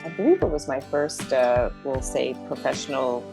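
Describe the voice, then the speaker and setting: A middle-aged woman chatting casually as a guest, speaking in a hesitant, halting way. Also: a bass voice, lively intonation, gravelly, over music. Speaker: guest author. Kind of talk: casual chat, reminiscing.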